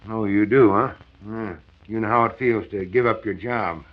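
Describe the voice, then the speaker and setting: A man's voice: fairly low pitch, normal speed, close to an everyday voice. An actor playing an ailing, middle-aged former salesman, folksy, wry and resigned, in 1940s radio drama style. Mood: bitter, resigned. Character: ailing, discouraged former salesman in his early fifties. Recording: dull, band-limited 1940s radio transcription.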